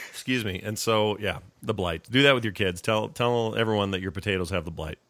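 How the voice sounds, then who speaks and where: A man's voice: lowish voice, moderate pace, a bit bright, no room sound. A middle-aged man hosting a podcast with a cold, rambling and joking casually. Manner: rambling, jokey, self-interrupting. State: has a cold, coughing. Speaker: podcast host.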